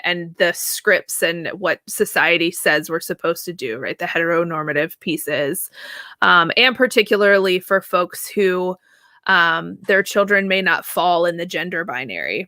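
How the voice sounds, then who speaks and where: A woman in her thirties, a therapist guest answering at length in a casual, animated way, laughing easily and often checking in with "right?". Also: on the low side, no echo, clean studio sound. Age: 30s. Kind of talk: conversational interview answer.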